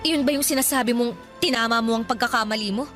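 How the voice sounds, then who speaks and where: An actress playing a sharp-tongued, hurt young woman. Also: fairly high pitch, fast talker, soft background music, natural voice. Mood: bitter, hurt. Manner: sharp, reproachful retorts. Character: hurt young woman confronting a lying ex-crush. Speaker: voice actor in radio drama. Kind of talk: Tagalog radio drama acting.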